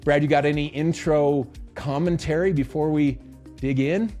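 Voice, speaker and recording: A man's voice: a little high, steady tone, normal speed, mellow, soft background music. A man co-hosting a podcast in a studio, chatting casually and joking, often laughing. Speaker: podcast co-host. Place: recording studio.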